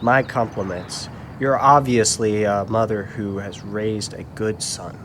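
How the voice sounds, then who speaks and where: A man's voice: fairly low pitch, steady tone, a little slow, a bit bright. A man playing a kindly, grateful old man in a puppet show, speaking courteously and earnestly. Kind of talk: church puppet show dialogue. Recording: clearly noisy background.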